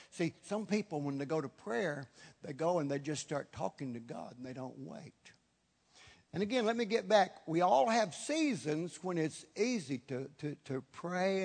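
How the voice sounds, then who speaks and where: A man in his seventies preaching a charismatic sermon, repeating phrases for emphasis. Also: on the high side. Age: 70s. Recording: echoey hall with long reverb.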